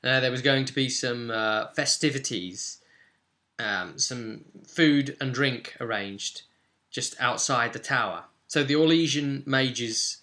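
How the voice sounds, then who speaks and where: A man giving a conversational, explanatory recap as a game master, often pausing mid-phrase as he tells the story. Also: mid-range voice, bright, forceful tone.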